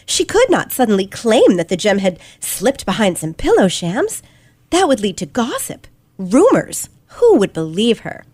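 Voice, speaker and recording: A woman playing a confident, sharp teenage girl detective in an old-fashioned radio play, with precise, theatrical delivery. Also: medium pitch, huge pitch swings, a little slow, slightly rough, no echo, heavily acted. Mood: triumphant, emphatic. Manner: theatrical, precise, dramatic emphasis.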